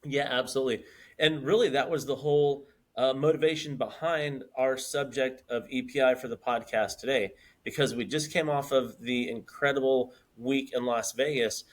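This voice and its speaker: A man's voice: mid-range voice, steady tone, moderate pace. A man in his fifties co-hosting a podcast, talking earnestly in casual chat and repeating words as he builds his points. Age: 50s. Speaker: podcast co-host.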